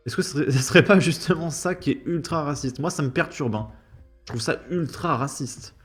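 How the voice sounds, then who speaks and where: A man's voice: a little high, a little fast, faint music behind. A man giving an opinion commentary, indignant and full of rhetorical questions. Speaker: YouTube commentator.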